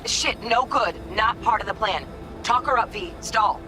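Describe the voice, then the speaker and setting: An actress voicing a brisk, controlling heist handler in a video game, clipped, dry and matter-of-fact. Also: female voice, on the high side, pushed and bright, soft background music. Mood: tense, instructing.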